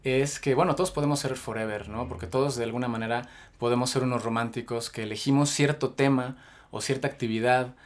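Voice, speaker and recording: A man's voice: mid-range voice, steady tone, fairly quick. A man with a Mexican accent hosting a podcast and introducing his guest at length with many fillers. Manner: rambling, filler-heavy introductions. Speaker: podcast host. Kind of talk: casual podcast interview.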